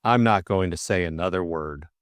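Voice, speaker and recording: A man voicing a calm, firm, plain-spoken police superintendent in an audiobook, speaking in a quiet, measured way. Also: low-pitched, no room sound, studio quality, voice pushed down, natural voice. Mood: guarded. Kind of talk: audiobook character acting.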